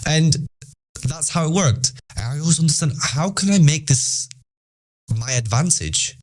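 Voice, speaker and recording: A man's voice: on the high side, animated, a little slow. A young British man with a British accent, giving a casual motivational talk to teenagers, emphatic and repetitive. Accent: British English. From Britain. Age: young adult. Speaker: podcast host.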